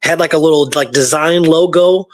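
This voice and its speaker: Guy with a higher voice going thru his history research, kinda halting and informal, real dry sound. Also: no room sound. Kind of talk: casual history talk retelling research from notes.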